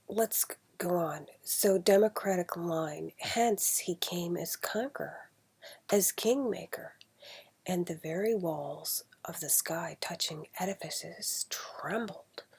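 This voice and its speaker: A woman with a husky, breathy voice reading an old novel aloud in a deliberate, dramatic storytelling manner. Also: fairly low pitch, fairly bright tone. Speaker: amateur reader.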